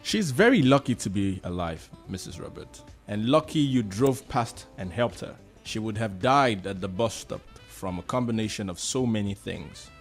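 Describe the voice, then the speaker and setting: A low-voiced Nigerian male doctor, played by an actor in a radio drama, grave yet reassuring. He explains in a measured way with varied intonation, over faint music. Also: lively intonation.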